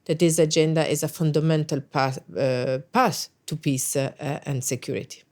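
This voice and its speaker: A woman's voice: low-pitched, little pitch movement, normal speed, darkish tone. A middle-aged woman, an English speaker, answering interview questions thoughtfully with frequent hesitations and restarts. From Italy. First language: Italian. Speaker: guest, NATO special representative.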